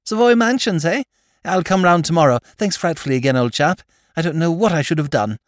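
A man voicing a giddy, weedy young English lord in his twenties, chattering in a high, breathless, gushing manner. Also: a little fast, no echo, clean studio sound, natural voice. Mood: chirpy.

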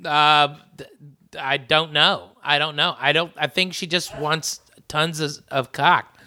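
A podcast co-host with a bright, sharp-edged voice at a middling pitch. They cut into the conversation with teasing, mocking commentary in loose banter. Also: fairly even intonation, takes it slowly. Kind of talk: casual banter.

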